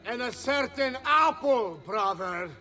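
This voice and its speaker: A man's voice: very high-pitched, lively intonation, slow, sharp tone, heavily acted. A man playing a mocking mercenary villain in a game cutscene, calling out theatrically. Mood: gloating. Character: taunting, loud villain, a mercenary brother. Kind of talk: video game cutscene acting. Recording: calling from a distance, music underneath.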